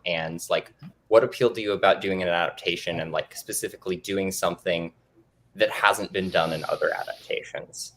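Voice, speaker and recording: A young man hosting a casual interview, talking in a loose and relaxed way with many fillers. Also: low-pitched. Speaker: interview host.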